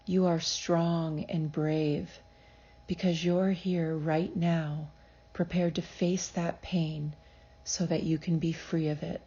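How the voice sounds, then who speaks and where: Low, slow, soothing woman guiding meditation. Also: flat delivery, breathy edge, lo-fi sound. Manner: soothing, measured, gentle instruction. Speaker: psychologist leading a guided meditation. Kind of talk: guided meditation, scripted.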